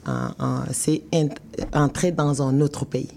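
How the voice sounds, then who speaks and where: A woman with a Senegalese accent, an academic guest explaining terms in a measured, didactic way, step by step. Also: low voice, steady tone, vocal fry, mellow, a little hiss or hum. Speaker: law professor, expert guest. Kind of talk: explanatory interview answers.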